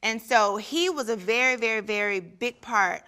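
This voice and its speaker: Earnest young woman, bright clear voice. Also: on the high side, moderate pace. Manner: earnest and reflective. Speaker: reality show cast member. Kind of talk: personal confession in a sit-down interview.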